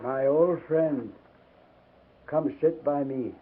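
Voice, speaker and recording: Tinny old-radio dying old chief. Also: male voice, fairly high pitch, a little hiss or hum. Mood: solemn, affectionate. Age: older. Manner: solemn, measured, formal poetic phrasing. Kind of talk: 1950s radio drama acting. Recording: narrow tinny 1950s radio recording.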